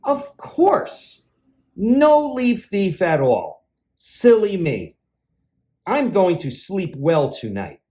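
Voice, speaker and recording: A man voicing an anxious, excitable squirrel in a children's storybook, fretful and given to dramatic exclamations. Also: sits high, drawn-out words, full character voice. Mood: relieved. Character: anxious, excitable squirrel fretting over stolen leaves. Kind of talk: children's picture book read-aloud.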